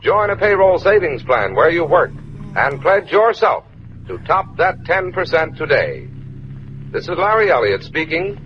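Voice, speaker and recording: A man's voice: a little high, big pitch swings, unhurried, a little hiss or hum. A man announcing a wartime radio appeal, earnest and persuasive. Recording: dull 1940s radio transcription.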